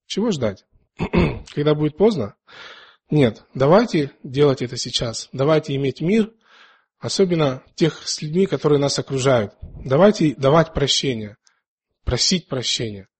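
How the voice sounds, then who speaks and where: A man preaching in a conversational, earnest way and reading scripture, pausing often, a little unwell with a dry mouth. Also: on the high side, expressive, breathy edge. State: slightly ill, dry mouth, clearing throat.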